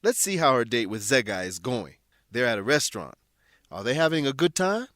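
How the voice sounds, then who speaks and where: A man hosts and narrates an educational radio show in a scripted, explanatory style. His medium-pitched voice moves expressively, and the recording is dry and close. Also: sing-song, fairly quick, a bit bright, no echo. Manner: clear, explanatory, addresses listeners. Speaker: narrator and English teacher.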